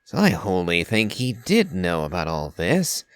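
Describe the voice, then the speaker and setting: A man's voice: low-pitched, wildly swooping pitch, measured pace, smooth, no echo. A man reading game dialogue aloud and giving casual commentary as a streamer.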